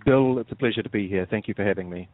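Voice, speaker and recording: A man with a New Zealand accent, a guest on a radio interview, explaining things in a measured way and often repeating words as he starts a sentence. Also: lowish voice, dry recording. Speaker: guest, software firm executive. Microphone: phone. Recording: tinny phone line.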